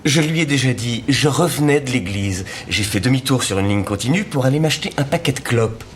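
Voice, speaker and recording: A man's voice: medium pitch, lively intonation, brisk, slight background noise, natural voice. A man playing a sly, sardonic suspect. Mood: wary. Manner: dry, sardonic. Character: wary male suspect craving cigarettes, sly. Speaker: suspect in interrogation. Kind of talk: French dub of a TV crime drama.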